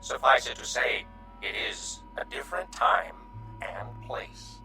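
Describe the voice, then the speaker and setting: A man's voice: medium pitch, expressive, measured pace, bright, forceful tone, roomy sound, soft background music, heavily acted, rough recording. A man playing a timid, courteous alien refugee in a radio drama, speaking in stilted, formal, hesitant phrases. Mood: reserved, cautious.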